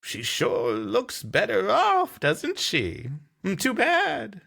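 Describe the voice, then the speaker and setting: A man voicing a sly, mocking fortune teller, teasing in a high, drawn-out put-on voice for a comedic story reading. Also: wildly swooping pitch, gravelly, bright, forceful tone, full character voice. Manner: falsetto-pitched, teasing, drawn-out. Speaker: podcast host.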